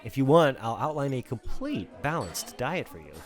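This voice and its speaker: A man's voice: medium pitch, big pitch swings, moderate pace, soft background music, lightly acted. A man playing a fussy, nervous accountant on the run, stammering and politely persistent in an acted film script read. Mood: earnest insisting. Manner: hesitant, stammering, earnestly nagging.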